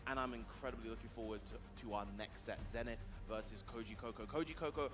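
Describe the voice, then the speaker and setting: A man's voice: mid-range voice, fast. A man doing live esports commentary at a tournament, speaking effusively and full of superlatives. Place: event stage with audience, heard through a stream.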